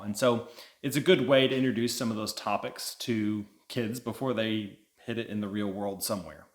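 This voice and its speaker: Guy with a fairly low voice, casual explainer style, reviewing books on a vlog. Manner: conversational, explanatory. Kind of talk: casual book review chat.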